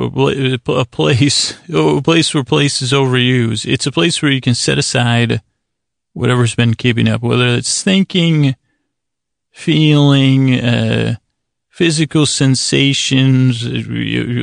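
A man with a heavily creaky, gravelly voice, recorded dry and close. He delivers a rambling bedtime monologue as a podcast host, stumbling, doubling back and drifting into digressions at a middling pitch. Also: steady tone, no echo. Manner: halting, meandering, digressive, stammering and self-correcting. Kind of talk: rambling bedtime monologue meant to lull listeners to sleep.

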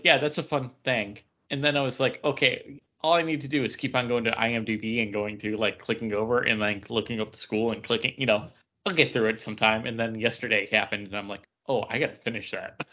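A man's voice: mid-range voice, steady tone, brisk, bright, forceful tone. Muffled man chatting on a podcast. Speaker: podcast co-host. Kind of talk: casual chat during a pub trivia game. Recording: dull, band-limited lo-fi audio.

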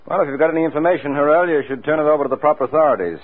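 Tinny old radio drama, male actor as American private detective, brisk, husky, curt, dry wisecracks. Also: fairly high pitch, lightly acted. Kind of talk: 1940s radio acting. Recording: tinny, narrow-band old radio recording.

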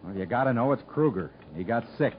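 A man's voice: mid-range voice, lively intonation, fast, close to an everyday voice. A man acting a stubborn, sullen convict in a 1950s radio drama, speaking in plain rustic, defiant phrases. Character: stubborn, sullen male convict, proud and embittered. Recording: muffled, noisy vintage radio broadcast.

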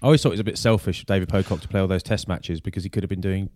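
Fast, deadpan man teasing his co-host. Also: deep voice. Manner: dry teasing banter, deadpan jokes. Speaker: podcast co-host. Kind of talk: casual sports chat.

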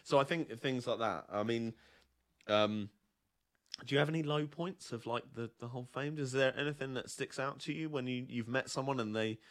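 Young guy, mid-pitched, chatty podcast co-host, rambling storyteller with plenty of fillers. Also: normal speed. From southern England. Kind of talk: casual chat.

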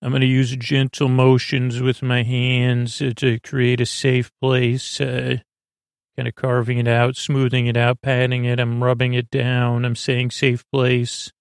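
A man with a creaky voice hosting a bedtime podcast in a soothing, meandering monologue that halts and loses its thread. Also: mid-range voice, monotone, dry recording, studio quality. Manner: meandering, halting, losing his thread; soothing, lulling. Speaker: podcast host.

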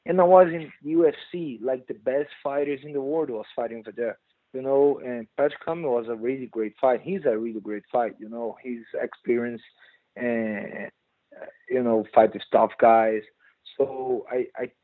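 A Brazilian man with a Portuguese accent, a guest speaking halting non-native English with many restarts and "you know". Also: medium pitch, dry recording, narrow, tinny audio. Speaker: guest fighter. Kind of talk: casual interview chat.

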